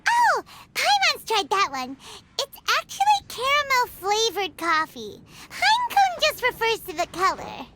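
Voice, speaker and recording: A woman playing a tiny, cheerful, chirpy fairy companion girl in a video game, excitable and childlike. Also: very high-pitched, a little slow, few pauses, heavy background noise, exaggerated voice. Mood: chatty, helpful. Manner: chirpy, excitable, refers to self in third person. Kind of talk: video game cutscene acting.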